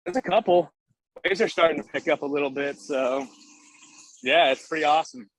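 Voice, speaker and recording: A man's voice: on the high side, a little fast, rough recording. A man chatting on a video call in an upbeat, breezy way. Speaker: call participant. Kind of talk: casual chat on a video call.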